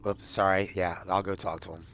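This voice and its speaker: A man's voice: low voice, little pitch movement, a little fast, a bit bright, soft background music. A man chatting casually as a tabletop game player, with faint noise in the recording.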